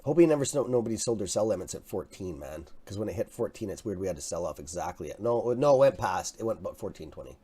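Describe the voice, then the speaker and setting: A man with a raspy, mellow, fairly low voice, chatting loosely through a live trading stream. He gets excited, swears now and then, and keeps addressing his viewers as "guys.". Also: quick pace, few pauses. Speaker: livestream stock trading host. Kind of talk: casual live market commentary.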